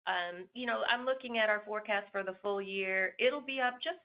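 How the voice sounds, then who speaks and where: A woman answering an analyst's question as a company executive, in a measured way. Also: mid-range voice. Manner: measured, uses "you know". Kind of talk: answering questions on a conference call. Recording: dull lo-fi call audio.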